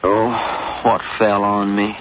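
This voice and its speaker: An actor playing a wisecracking, folksy adventurer sidekick with slangy, grumbling delivery in 1940s radio style. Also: male voice, on the low side, completely flat delivery, takes it slowly. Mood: groggy grumbling. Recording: muffled, hissy vintage radio recording.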